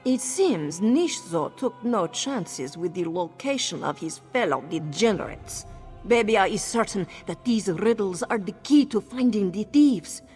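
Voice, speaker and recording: A woman's voice: medium pitch, expressive, unhurried, a little mellow, close to an everyday voice. A synthetic woman voice as a proud cat-folk townswoman. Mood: eager. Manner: speaks of herself in third person. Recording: reverb with game music behind. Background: game music.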